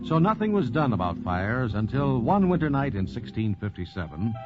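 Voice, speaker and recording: A dull vintage radio recording with loud music behind a man narrating in 1940s style. His polished announcer delivery is dramatic and highly animated, swinging up and down in pitch. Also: normal speed. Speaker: host and narrator.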